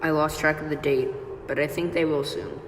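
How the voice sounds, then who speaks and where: Low, grave prisoner, played by a young woman, amateur radio play in a reverberant room. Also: steady tone, normal speed, natural voice. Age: young adult. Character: grave fellow prisoner counting the days. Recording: noisy hall with long reverb.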